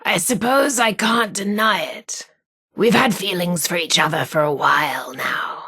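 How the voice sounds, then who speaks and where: An actress with a husky, breathy voice, playing a shy but sassy, lovestruck radio DJ in a video game. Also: female voice, fairly low pitch, measured pace, sharp tone, dry recording, heavily acted. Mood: guarded, irritated. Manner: informal, slangy, hesitant about feelings. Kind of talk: video game character dialogue.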